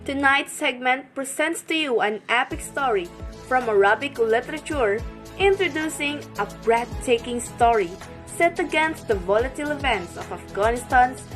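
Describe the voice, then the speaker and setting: A young woman co-hosts a scripted radio segment in a bubbly, fairly high voice. Faint music plays under her. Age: young adult. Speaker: radio DJ co-host. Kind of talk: scripted radio show hosting.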